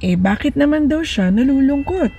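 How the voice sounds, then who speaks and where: A woman's voice: high voice, expressive, moderate pace, creaky, dark tone, distant mic, soft background music, pitched above the performer's own voice. A woman playing a doting, inquisitive mother talking to her small child in a storybook. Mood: curious. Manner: inquisitive, indulgent.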